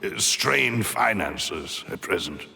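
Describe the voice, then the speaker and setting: A man's voice: low voice, sing-song, takes it slowly, vocal fry, very noisy, exaggerated voice. An actor playing a loyal, earnest male retainer in a video game, speaking formally and deferentially. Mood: respectful, regretful.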